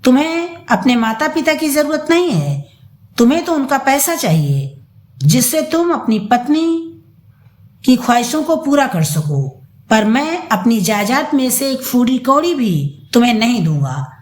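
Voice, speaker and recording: Woman performing older father, high voice, indignant, accusing, firm, animated delivery, audio story. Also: unhurried. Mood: wounded anger. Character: stern, angry older father rebuking his greedy son. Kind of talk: dramatised audio story reading. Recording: roomy echo.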